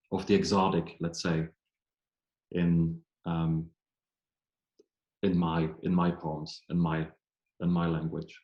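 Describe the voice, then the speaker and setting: A poet from German-speaking Switzerland with a Swiss German accent speaks in English, hesitant and full of ums and restarts, at a public reading event. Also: male voice, deep voice, a little mellow, echoey room.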